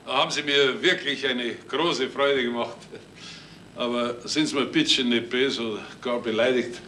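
A man's voice: medium pitch, fairly even intonation, moderate pace, sharp tone, lightly acted. A man acting a polite, apologetic, fussy long-serving office worker in a 1970s radio play. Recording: slightly distant, faintly noisy vintage recording.

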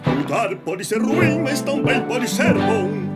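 An actor voicing a big, calm, philosophical talking stone in a children's cartoon, measured and pondering. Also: medium pitch. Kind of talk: animated children's cartoon acting. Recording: loud music and crowd noise behind.

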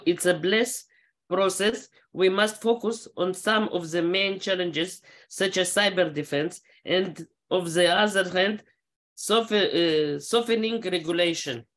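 A middle-aged woman from Moldova who lives in Israel, speaking non-native English, reads a prepared webinar welcome and stumbles over words. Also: lowish voice. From Moldova, long living in Israel. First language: not English. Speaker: chamber of commerce chairwoman. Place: indoor room, on a video call.

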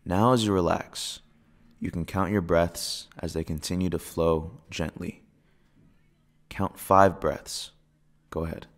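Male meditation guide: deep creaky register, very unhurried, soothing, spacious phrasing for breathing exercises. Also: steady tone. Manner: soothing, gentle, measured delivery with pauses.

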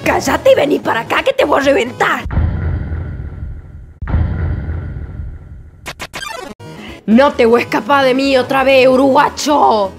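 A woman's voice: high voice, lively intonation, fairly quick, soft background music. A woman with an Argentine accent voicing a hot-tempered, menacing armored fish-woman warrior in a parody cartoon, aggressive and full of swearing. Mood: furious. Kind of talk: fan parody cartoon voice acting.

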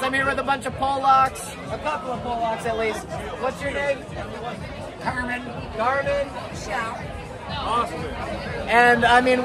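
A man in his twenties talking to his phone at arm's length, rambling and ranting casually while out in a city. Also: extremely high pitch. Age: 20s. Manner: chatty, rambling, ranting with laughs. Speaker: travel vlogger. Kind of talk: casual travel vlog to camera. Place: outdoors in a city, then a busy bar. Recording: loud street noise and crowd chatter.

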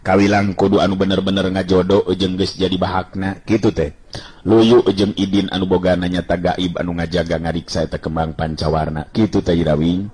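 A man voicing a calm, knowing guide in a radio story, explaining things seriously and cautiously in a measured way. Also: sits low, husky. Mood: earnest explaining. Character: calm, knowledgeable male guide, earnest and cautionary.

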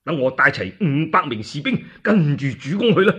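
Man acting a grave, husky Cantonese warrior general. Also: fairly high pitch, big pitch swings, normal speed, pushed and bright, full character voice. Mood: wary. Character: loyal, vigilant male warrior general. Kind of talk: one-man radio storytelling. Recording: dull lo-fi radio recording.

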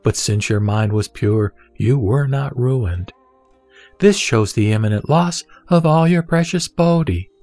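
A man reading the words of the Buddha as a calm, wise teacher, in a measured and solemn scripted recitation. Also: medium pitch, dry recording, soft background music, close to an everyday voice.

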